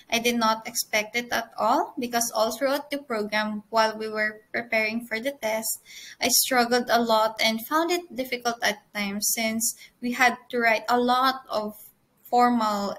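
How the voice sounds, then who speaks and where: A woman's voice: on the high side, pushed and bright. Earnest young woman presenting. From Philippines. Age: 20s. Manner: earnest, measured, occasional restarts. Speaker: student presenter. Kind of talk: prepared conference presentation.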